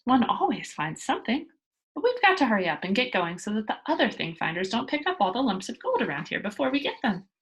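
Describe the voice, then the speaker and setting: A woman's voice: a little high, sing-song, fast. A woman voicing a bold, cheerful young girl adventurer from a children's storybook, with a breezy, boastful manner. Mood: breezy boasting. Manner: breezy, boastful, matter-of-fact. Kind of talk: children's storybook character read aloud.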